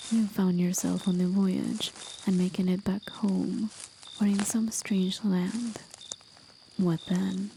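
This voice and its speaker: A woman playing a playful, courteous demon forest guide in an ASMR roleplay, with soft, breathy, often whispered speech and a teasing, gently theatrical manner. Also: mid-range voice, slight background noise, rough recording. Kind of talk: ASMR roleplay, scripted acting.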